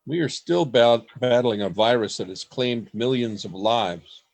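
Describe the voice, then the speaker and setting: A man's voice: mid-range voice, a little slow, vocal fry. A man acting in a radio-style play as an earnest, bewildered present-day grandfather.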